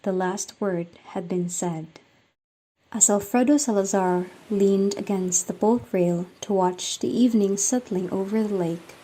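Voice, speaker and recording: A woman's voice: medium pitch, mellow, breathy edge, low-quality recording. A woman reading scripted story narration for a radio drama.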